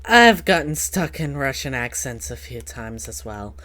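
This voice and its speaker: A woman's voice: a bass voice, big pitch swings, measured pace, fairly bright tone. A woman hosting a casual podcast chat in a playful, teasing, rambling way. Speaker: podcast host.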